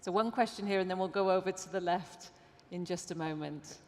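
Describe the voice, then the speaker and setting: A woman moderating audience questions at a summit, brisk and lightly humorous as she passes the microphone around. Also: on the low side. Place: conference hall. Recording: long hall reverb.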